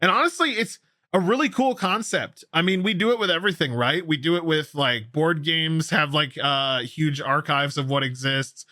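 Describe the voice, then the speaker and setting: Bright, forceful male gaming news host. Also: a little high, moderate pace, no echo, studio quality. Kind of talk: casual news commentary.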